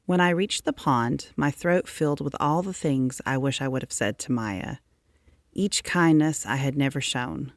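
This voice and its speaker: A woman reading a children's story aloud as narrator, in a measured, expressive storytelling manner. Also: extremely low pitch, no room sound, clean studio sound. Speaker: storybook reader.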